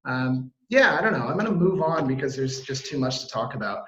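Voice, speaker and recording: A man in his thirties from Washington, D.C., with an American accent, giving an energetic webinar talk full of fillers and restarts. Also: medium pitch, echoey room, lo-fi sound. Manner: upbeat, fast, filler-heavy, self-interrupting. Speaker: webinar presenter. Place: home or office on a video call. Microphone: video call mic.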